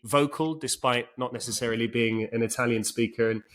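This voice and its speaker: A man's voice: medium pitch, steady tone, a little fast, fairly bright tone. A man hosting a casual football podcast, thoughtful and given to restarts.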